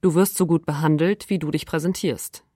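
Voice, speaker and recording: A woman reading quoted text aloud in a calm, deliberate manner. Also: low-pitched, dry recording, clean studio sound. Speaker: reader of quotations.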